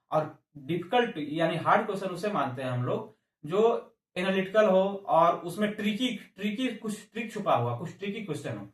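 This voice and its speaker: Echoey, higher-pitched male coaching teacher. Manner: repeats and restarts phrases, mixes in English terms. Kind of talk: informal explanatory lecture to exam aspirants. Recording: echoey room, distant microphone.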